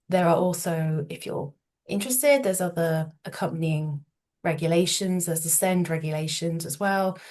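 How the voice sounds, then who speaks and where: A woman with an English accent, a solicitor giving an informative talk, slightly nasal from a cold, measured, with many ums and restarts. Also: lowish voice, darkish tone.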